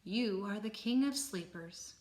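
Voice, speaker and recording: A woman voicing a gentle, wise, caring female elephant in a children's storybook, with an encouraging manner. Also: medium pitch, lively intonation, unhurried, close to an everyday voice. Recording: roomy, echoing room sound.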